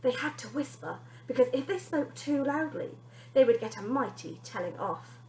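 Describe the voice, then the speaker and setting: A woman teacher reading a children's story aloud in an expressive narrating style. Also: high voice, normal speed, a little hiss or hum. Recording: echoey room.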